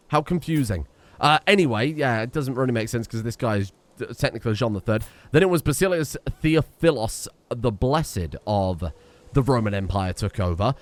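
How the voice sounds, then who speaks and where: A young man talks through a strategy game with excited exclamations and rambling restarts. His voice sits in an ordinary middle register. The sound is close and dry, with no room around it. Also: mid-range voice, no echo. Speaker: gaming streamer. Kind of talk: casual let's-play commentary.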